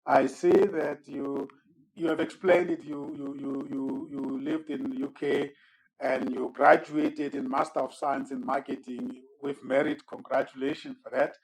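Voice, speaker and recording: A man moderating an online coaching podcast, his voice sitting at an ordinary middle pitch. He is courteous and measured, circling back over words before he settles on them. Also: fairly even intonation, a little mellow, rough recording. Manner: measured, courteous, with frequent word repeats. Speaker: host and moderator. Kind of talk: formal podcast interview.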